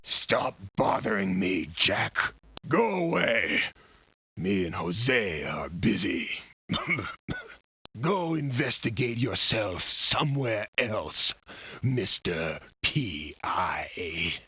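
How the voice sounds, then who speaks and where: A man voicing a rude, jeering lowlife barfly for a video game, husky and mocking. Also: big pitch swings, very slow, pushed and bright, exaggerated voice. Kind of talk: video game character acting.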